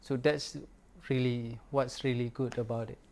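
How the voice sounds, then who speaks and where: A man whose first language is Malay, giving a casual, unhurried product review in English with many pauses and fillers. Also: mid-range voice, little pitch movement, a little mellow. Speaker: record store owner reviewing gear.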